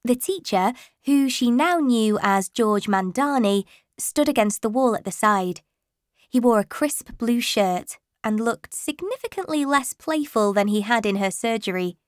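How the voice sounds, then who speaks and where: A woman's voice: on the high side, expressive, a little slow, no room sound, clean studio sound. A woman reading fiction aloud as a scripted audiobook narrator.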